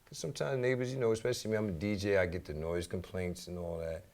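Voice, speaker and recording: A man's voice: sits low, fairly even intonation, fast talker, darkish tone. A man with a New York accent chatting casually as an interview guest, rambling and slangy, with lots of "you know" and "man". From New York City. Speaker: DJ and music teacher, radio show guest.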